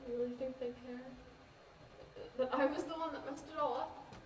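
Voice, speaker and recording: A young woman in a student stage play, acting a bitter, wounded, defensive teenage girl with an emotional, halting, insistent way of talking. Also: high-pitched, steady tone, recorded from a distance, natural voice. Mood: wounded, unsure. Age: young adult. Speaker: student actor in a one-act play. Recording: echoey hall from afar, faint noise.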